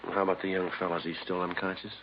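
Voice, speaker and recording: Fast, low, deadpan police sergeant. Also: male voice. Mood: businesslike. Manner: deadpan, matter-of-fact. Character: terse, weary male police sergeant narrating his case. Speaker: actor and narrator in a radio crime drama. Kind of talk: 1950s radio drama acting, clipped procedural narration. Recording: muffled, noisy vintage radio recording.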